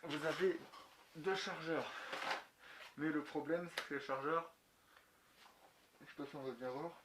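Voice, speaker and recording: A man's voice: a little high, fairly even intonation, a little slow. A man giving a casual, unscripted product review in colloquial, slangy speech with some mild swearing. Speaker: motovlogger reviewing gear. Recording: echoey room, clicks and clear background noise.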